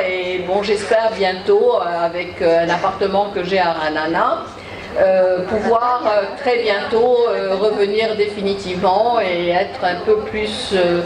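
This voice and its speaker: A woman's voice: mid-range voice, a little hiss or hum. Hesitant woman in an echoey hall. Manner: hesitant, with many fillers. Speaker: congress participant introducing herself. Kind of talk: informal speech to an audience. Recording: echoey hall audio from far off.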